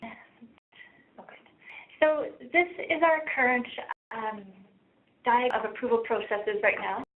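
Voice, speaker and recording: A soft-voiced woman with a Canadian accent giving a webinar presentation over a thin conference line. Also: on the high side, slow pace, narrow, tinny audio. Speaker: presenter, research practice lead.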